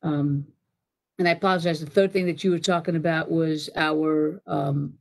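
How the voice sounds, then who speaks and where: A woman's voice: deep voice, fairly even intonation, moderate pace, creaky. A middle-aged woman on a town hall panel explaining safety policy over a video call from a home office, rambling with restarts and fillers. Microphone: video call webcam.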